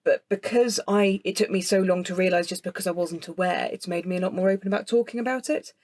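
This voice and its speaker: A woman's voice: medium pitch, fairly even intonation, quick pace, a little mellow, no echo. A woman speaking openly in a podcast conversation as a host.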